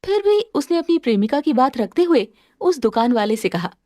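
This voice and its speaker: Expressive, high-pitched woman narrating a story. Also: normal speed, no echo, clean studio sound. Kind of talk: scripted Hindi moral story narration. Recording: music under the voice.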